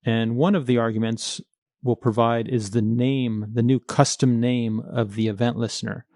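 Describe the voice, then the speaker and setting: A man's voice: medium pitch, level tone, normal speed, darkish tone, no echo, clean studio sound. A man teaching coding in a screencast, explaining each step in a methodical, plain-spoken way. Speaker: coding tutorial instructor.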